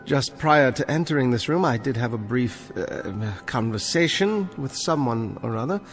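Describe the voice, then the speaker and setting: An actor in a radio drama playing an earnest, bookish librarian on trial, polite and hesitant yet pedantic and defiant. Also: male voice, medium pitch, animated, moderate pace, long reverb tail, soft background music, lightly acted. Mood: polite, uneasy.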